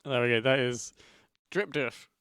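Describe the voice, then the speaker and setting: Young host fumbling through the running order. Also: male voice, mid-range voice, fairly bright tone, clear, resonant tone, no echo, studio quality. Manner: halting, with many um and uh. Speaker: event host. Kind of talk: casual announcing.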